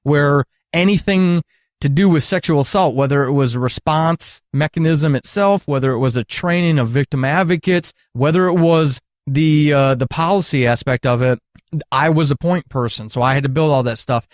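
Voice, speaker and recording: A man's voice: on the high side, normal speed, dry recording, studio quality. A middle-aged man telling candid, earnest stories at length as an interview guest, with emphatic phrasing. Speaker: podcast guest, retired military counselor.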